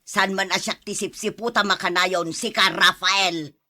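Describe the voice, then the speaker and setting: A woman's voice: medium pitch, steady tone, moderate pace, bright, forceful tone, natural voice. A woman playing an elderly, grumbling grandmother in an Ilocano radio drama, plain-spoken and scolding, with an Ilocano accent. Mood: resentful. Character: elderly grandmother and mother, grumbling, partial to favorites.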